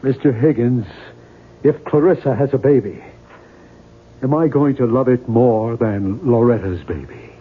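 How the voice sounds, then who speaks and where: A man's voice: mid-range voice, unhurried, natural voice. Tormented married male writer, played by an actor, weary and emphatic, mid-range voice, thin hissy 1970s radio sound. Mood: anguished, desperate. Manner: earnest, anguished, emphatic. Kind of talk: radio mystery drama acting. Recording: tinny, noisy 1970s radio broadcast.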